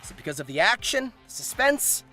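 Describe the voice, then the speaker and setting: A man's voice: very high-pitched, very animated, brisk, pushed and bright, faint music behind. A man hosting a scripted comedic review, narrating theatrically with exaggerated emphasis. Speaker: host.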